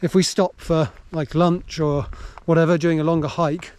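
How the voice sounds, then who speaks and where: A man's voice: fairly high pitch, little pitch movement, moderate pace, a little mellow, smooth. Jokey English man, outdoor hiking vlog. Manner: jokey, self-mocking. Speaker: vlogger. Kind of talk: casual vlog chat while hiking. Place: outdoors on a hill walk. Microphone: handheld camera.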